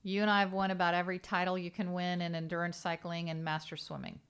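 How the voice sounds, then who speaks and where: A woman's voice: lowish voice, little pitch movement, quick pace, clean tone. A woman of about sixty co-hosting a casual interview, chatty and asking earnest follow-up questions. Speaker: podcast co-host.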